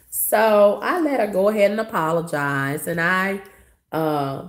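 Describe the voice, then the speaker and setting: A hoarse woman with a cough, telling a story to her viewers in a blunt, profane, dismissive way. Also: lowish voice. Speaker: vlogger.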